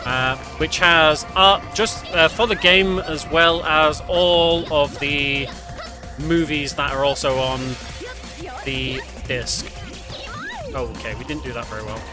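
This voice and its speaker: A man's voice: on the high side, fairly even intonation, slow pace. A man giving casual, slightly hesitant gameplay commentary as a speedrunner, often correcting himself as he goes. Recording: game music behind voice. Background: game music and sounds.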